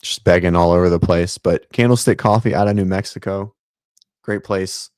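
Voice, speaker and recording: A man with a raspy voice hosting a casual fitness livestream from his home office, rambling with many ums and restarts. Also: sits low, fairly even intonation, normal speed, darkish tone, dry recording, studio quality. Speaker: host of a fitness livestream show. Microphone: set-up studio mic.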